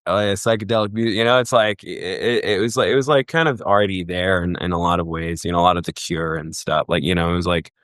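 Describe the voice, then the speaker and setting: Young man, from Egypt, very low voice, dry close sound, casual podcast guest, rambling, many fillers. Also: fast talker, few pauses, fairly bright tone, smooth, no echo. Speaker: guest, festival booker.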